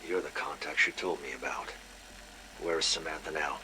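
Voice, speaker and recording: A gruff operative in a video game with an extremely deep voice. He talks tersely and suspiciously over a clearly noisy line. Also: steady tone, normal speed. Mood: suspicious, concerned. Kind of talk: video game drama acting.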